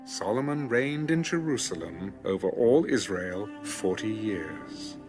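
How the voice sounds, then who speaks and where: A man narrating scripture as a scripted drama, in solemn, measured storytelling. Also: on the low side, animated, a little mellow. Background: background music.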